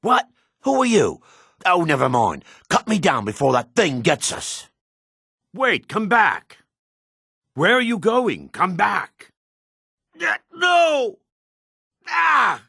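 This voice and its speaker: Male dark elf, fantasy game character, husky, dry close recording, jaded and contemptuous. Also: high-pitched, wildly swooping pitch, takes it slowly, pauses often, no echo, heavily acted. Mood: frantic, pleading. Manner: sardonic, disdainful. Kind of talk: video game character voice acting.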